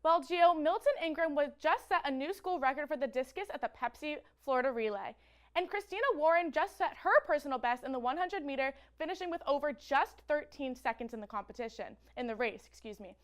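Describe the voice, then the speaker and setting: Young woman with high voice, sports news. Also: lively intonation, a little fast. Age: young adult. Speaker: student sports co-anchor. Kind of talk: scripted sports roundup.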